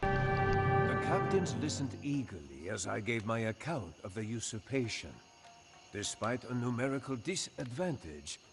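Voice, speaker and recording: An actor playing an honored, solemn general of the Khmer Empire, narrating in a grave, formal, measured way for a strategy game. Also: male voice, mid-range voice. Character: honored old-world Khmer general, solemn, weathered commander. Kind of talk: dramatic scripted game narration.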